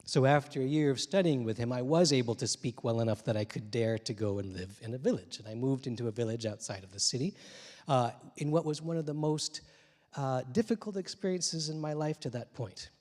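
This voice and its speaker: A man in his forties, a little hoarse from talking a lot, speaks on a theater stage into a handheld mic. His telling is reflective and gently humorous, full of small pauses and fillers, and his middle-pitched voice trails off into the long reverb of the hall. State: slightly hoarse from many interviews. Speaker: translator and poet. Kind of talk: storytelling talk on stage. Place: theater stage, dark room.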